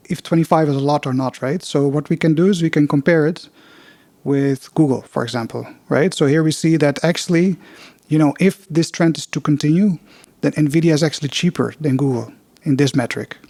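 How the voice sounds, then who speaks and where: A man's voice: on the high side, darkish tone, dry recording. A man with a Dutch accent hosting a loose, unpolished podcast, talking through charts with many fillers and restarts. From Netherlands. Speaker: podcast host. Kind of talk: casual investing podcast with chart walkthrough.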